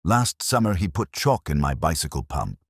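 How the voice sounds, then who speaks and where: A man's voice: extremely low pitch, big pitch swings, normal speed, breathy edge, no room sound, studio quality, exaggerated voice. A man voicing a gossipy, suspicious village churchwoman in a storybook mystery, with an insinuating manner. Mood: dark muttering. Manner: muttering, insinuating.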